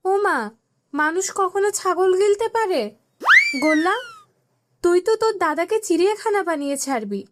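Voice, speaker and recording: A bewildered village woman, voiced by an actress, in a cartoon comedy. She is extremely high-pitched, questioning and chiding, over loud noise. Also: expressive, moderate pace, long pauses. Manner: astonished, questioning. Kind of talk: comic cartoon dialogue.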